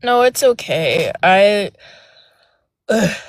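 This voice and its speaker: A young woman with a soft voice telling a personal story on a live panel, hesitant with many fillers and restarts, speaking from outdoors. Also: mid-range voice, expressive, very slow, no echo. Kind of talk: casual personal account. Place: outdoors near a road.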